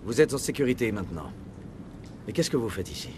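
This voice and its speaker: A man's voice: medium pitch, animated, fairly quick, lightly acted. A man playing a resolute, authoritative male military commander in a video game, with terse delivery. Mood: resolute, commanding. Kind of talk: French video game dub.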